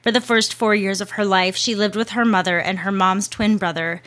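A woman's voice: mid-range voice, fairly even intonation, normal speed, fairly bright tone. A woman hosting a show, reading a scripted narration in a casual way with chatty asides and the odd swear word.

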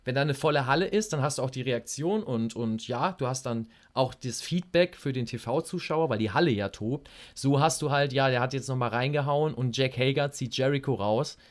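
A man's voice: mid-range voice, moderate pace, few pauses. A man co-hosting a wrestling podcast, giving critical opinions in casual chat with hesitant fillers.

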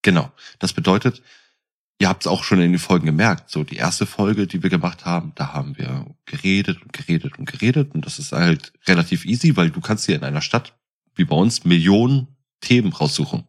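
Deep-voiced middle-aged man chatting on a podcast. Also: brisk. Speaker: podcast co-host. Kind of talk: casual podcast chat.

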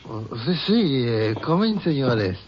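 An actor playing an evasive Mexican man in an old radio drama, pleading innocence in halting, accented broken English. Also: mid-range voice, sing-song, noisy background. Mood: sleepy, evasive. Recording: dull vintage radio recording.

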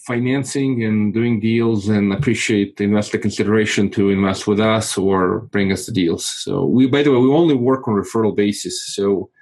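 Man, 40s, from Moldova, native Russian speaker, lowish voice, measured, real estate investor being interviewed. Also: fairly even intonation, darkish tone. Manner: measured, repeats words when searching. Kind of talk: casual interview conversation.

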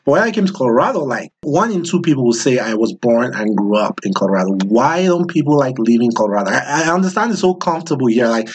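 A man's voice: medium pitch, big pitch swings, fast talker, few pauses, slight background noise. A man from Nigeria hosting a casual podcast interview, joking and teasing his guest, laughing often and repeating his words. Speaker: podcast host. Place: home studio in Denver.

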